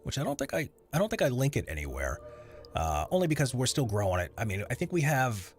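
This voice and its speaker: A man's voice: mid-range voice, very animated, fast, faint music behind. A man streaming while he edits voiceover audio, chatting loosely with his viewers and rambling between tasks. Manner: rambling, chatty, answers chat while working. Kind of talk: casual live-stream chat.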